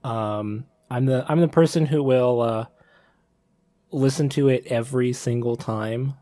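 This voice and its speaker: A man's voice: medium pitch, measured pace, mellow, dry recording, clean studio sound. A man co-hosting a podcast in casual chat, hesitant with many fillers. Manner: hesitant, many "uh" and "um", asks questions. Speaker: podcast co-host.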